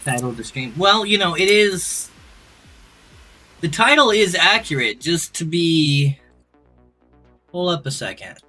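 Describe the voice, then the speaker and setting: A man's voice: on the high side, expressive, measured pace, long pauses, pushed and bright, low-quality recording. A male gaming streamer, chatting and ranting casually to viewers in a rambling, droning way with many restarts. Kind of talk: casual livestream chat. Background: clear background music.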